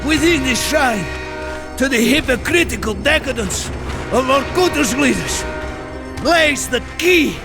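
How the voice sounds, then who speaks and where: Fiery gravelly Russian rebel prisoner. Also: male voice, very high-pitched, lively intonation, unhurried, over music, full character voice. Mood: grave, urgent. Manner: declamatory, commanding. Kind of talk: dramatic video game acting.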